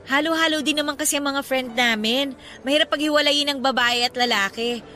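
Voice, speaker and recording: Masiglang dalagang ikakasal, matinis ang boses. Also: female voice, high-pitched, fairly quick, soft background music. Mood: matter-of-fact. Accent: Filipino. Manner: animated, exclaiming, colloquial. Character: chatty, expressive young bride-to-be and close friend. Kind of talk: Filipino radio drama acting.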